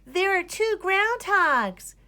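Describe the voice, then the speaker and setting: A woman voicing excited storybook reporters and onlookers with loud exclamations. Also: very high-pitched, slow pace, pitched above the performer's own voice, exaggerated voice. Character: excited crowd of reporters shouting.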